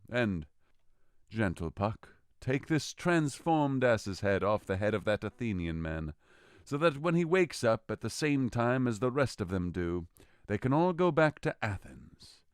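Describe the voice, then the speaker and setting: An actor playing a commanding fairy king in a modernized Shakespeare audio drama, with theatrical, expressive delivery. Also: fairly low pitch, clear, resonant tone, no room sound, clean studio sound. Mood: gentle, solemn. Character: commanding fairy king, scornful yet relenting. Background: music.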